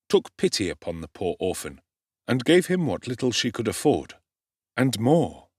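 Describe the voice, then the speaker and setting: Very animated, low-pitched male narrator. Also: no room sound, studio quality. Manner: theatrical, expressive. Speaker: audiobook narrator. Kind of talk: dramatic literary narration.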